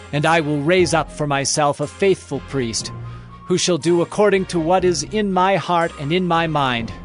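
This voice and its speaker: A male actor plays a stern man of God in a scripture drama. The voice sits a little high and declaims judgment solemnly. Soft music runs beneath it. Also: natural voice. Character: stern male prophet delivering God's judgment. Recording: faint music behind the voice.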